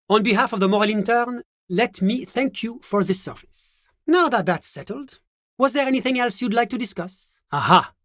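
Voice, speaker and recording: An actor playing a suave, evasive government bureaucrat in a video game, with a French accent and a formal, polite, measured delivery. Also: male voice, high voice, fairly bright tone, dry recording, studio quality. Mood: courteous, pleased, then coolly disapproving. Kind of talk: scripted game character dialogue.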